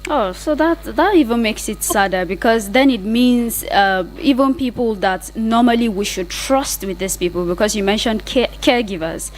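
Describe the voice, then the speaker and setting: A woman's voice: fairly high pitch, normal speed, hardly any pauses, rough recording. A woman with a Nigerian accent hosting a conversational radio interview, listening attentively and murmuring short agreement.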